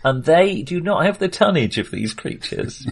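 A man's voice: on the high side, big pitch swings, normal speed, gravelly, breathy edge. A man co-hosting a film podcast, talking casually in a hesitant, self-qualifying way with real enthusiasm.

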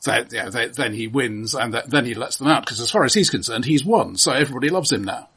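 A man co-hosting a film discussion podcast, explaining his points in a casual, measured, halting way. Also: medium pitch, lively intonation, a bit bright, husky. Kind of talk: casual film analysis chat.

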